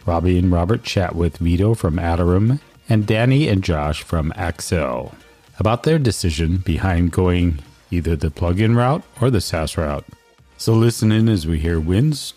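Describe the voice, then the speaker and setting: A man's voice: sits low, measured pace, dark tone, soft background music. A man reading a scripted, upbeat podcast intro as the show's announcer. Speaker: show announcer.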